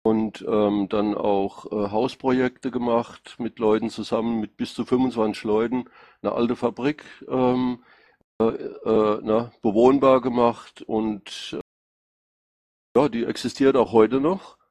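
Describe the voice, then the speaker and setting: A man's voice: medium pitch, fairly even intonation, takes it slowly, a little mellow, dry recording. Middle-aged man with a Rhine-Hessian accent, dry sound. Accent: Rhine-Hessian, mixing Hessian and Palatine. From Rhine-Hesse, Germany. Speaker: political candidate answering questions. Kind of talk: informal spontaneous self-presentation. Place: online voice chat.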